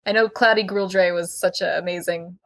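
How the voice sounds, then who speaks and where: A woman's voice: fairly low pitch, steady tone, normal speed, a loud crowd. A woman co-hosting a podcast, chatting casually about a book in a wry, opinionated way. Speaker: podcast co-host.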